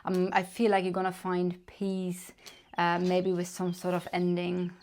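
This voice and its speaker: Woman, German accent, tarot reader, flat delivery, gentle pace with fillers. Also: on the low side. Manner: gentle, unhurried, many fillers. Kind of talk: casual tarot reading.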